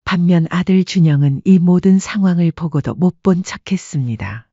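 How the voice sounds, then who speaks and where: A woman narrating a scripted story with a soft, husky voice. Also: fairly low pitch, animated, moderate pace, no echo, highs cut off.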